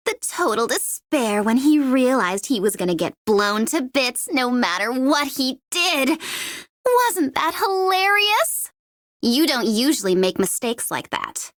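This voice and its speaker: A woman's voice: fairly high pitch, animated, a little slow, dry recording, clean studio sound. A woman voicing a young female mercenary bomber in a video game, gleeful and taunting, with a playful, sardonic, theatrical delivery. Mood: mocking amusement. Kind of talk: video game character voice lines.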